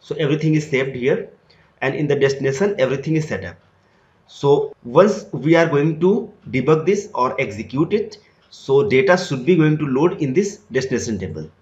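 A man at a mid-range pitch explains a software setup step by step, leaning on "so" to link each click to the next. His voice sounds roomy, as if recorded in a bare room, and the audio is a bit dull and lo-fi. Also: lively intonation, moderate pace. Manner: step-by-step explanatory, repeats "so" often. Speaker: tutorial instructor. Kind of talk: screen-recorded software tutorial.

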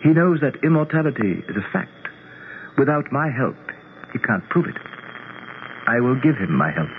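An actor playing a courtly, self-assured immortal alien prince in a 1970s radio drama, speaking formally and with stately certainty. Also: male voice, medium pitch, very animated, normal speed, close to an everyday voice. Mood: assured, courting. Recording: tinny, noisy 1970s radio broadcast.

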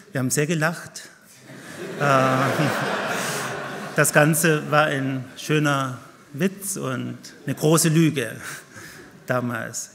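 A middle-aged man with a Swiss German accent and a gravelly edge to his voice, speaking into a podium microphone. His lecture is lively and wry. The sound carries a long reverberant tail from a large conference hall. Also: mid-range voice. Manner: lively, wry lecturing with self-deprecating jokes. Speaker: keynote speaker, professor. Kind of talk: conference keynote talk. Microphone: lectern mic at a podium.

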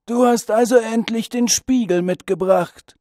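A woman's voice: fairly high pitch, warm tone, lightly acted. A woman voicing a wise, grave old man with a solemn manner in a children's fairy tale. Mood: solemn, knowing. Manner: slow, solemn.

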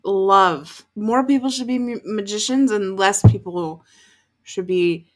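A woman's voice: mid-range voice, takes it slowly. A woman in her twenties giving casual, exasperated, sweary reaction commentary while watching a show. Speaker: reaction video host. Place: small bright bedroom, indoors.

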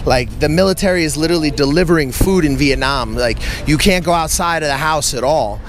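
A man's voice: a little high, brisk, slight background noise. Blunt, profane man chatting on a city street. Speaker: vlogger and relocation consultant. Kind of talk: casual street chat. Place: outdoors on a city street, walking.

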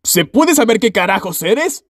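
A man with a Latin American Spanish accent voicing a gruff, sardonic, foul-mouthed male echidna in a cartoon fan dub. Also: high-pitched, very animated, normal speed, dry recording, studio quality, heavily acted. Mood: incredulous, amused.

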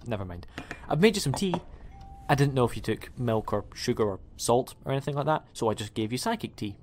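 A man's voice: medium pitch, slight background noise, close to an everyday voice. A man playing an eccentric, chatty time-travelling alien in an audio drama, with a rambling, quirky way of talking. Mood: breezy, rambling. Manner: rambling, self-correcting, quirky. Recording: music under the voice.

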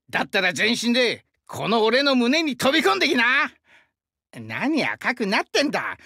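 A male actor voicing a burly, friendly young sumo-wrestler god in an anime game, with rough, hearty speech and a rural Shinshu Japanese dialect. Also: high-pitched, pushed and bright, dry recording, clean studio sound, full character voice. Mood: earnest. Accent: rural central Japanese dialect (Shinshu). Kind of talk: anime game character voice lines.

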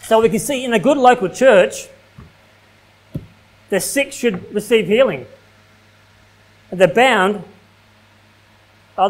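An Australian man in his thirties delivers a sermon from a pulpit in a small church hall. His voice sits high for a man, and his talk mixes plain everyday phrasing with formal scripture reading. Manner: preaching at a pulpit, reading King James verses aloud, casual fillers like "like". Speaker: pastor.

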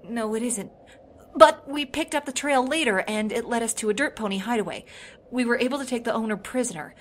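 A woman's voice: on the high side, fairly quick, slight background noise, natural voice. A woman playing a dutiful, deferential pony officer in an audio drama, reporting formally to a superior. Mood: cautious reporting. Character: dutiful female pony military subordinate, deferential.

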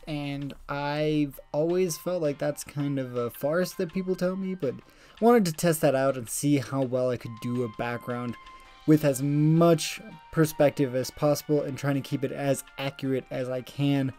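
A woman narrating over a screen recording of her digital painting, explaining her process in a relaxed, thinking-aloud way. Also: deep voice, unhurried, soft background music. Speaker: digital artist.

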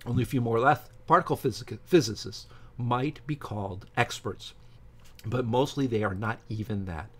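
A middle-aged man reads aloud haltingly from a book and breaks off to give his opinionated, informal commentary as a channel host. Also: medium pitch, breathy edge. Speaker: YouTube science channel host. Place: small home office with bookshelves, indoors.

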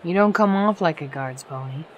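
A woman's voice: deep voice, moderate pace, lo-fi sound, slight background noise, close to an everyday voice. A woman voicing a dry, guarded, protective older-sister pony in a fan audio drama. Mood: guarded, skeptical.

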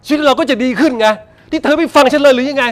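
A man's voice: very high voice, fairly even intonation, very noisy. An actor playing an exasperated husband who scolds his wife in a rush before leaving for work. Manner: fast, impatient scolding. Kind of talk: Thai melodrama acting.

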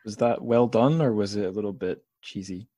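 Lowish-voiced man hosting, dry sound. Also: brisk, dark tone, no room sound. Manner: hesitant, rambling, circling back as he phrases questions. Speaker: podcast host, interviewer. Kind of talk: casual interview questioning.

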